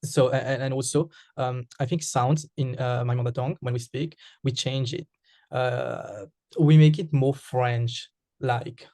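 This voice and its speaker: A man's voice: medium pitch, steady tone, a little slow, darkish tone, dry recording, studio quality. A man from Mauritius with a Mauritian accent, a Creole speaker answering interview questions, hesitant with frequent fillers and restarts.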